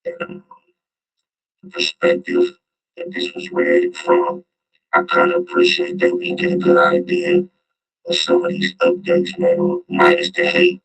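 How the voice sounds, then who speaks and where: Man, vlog host, heavy vocal fry, casual slangy commentary, faint music behind. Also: very high voice, rough recording. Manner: slangy, drawn-out street talk.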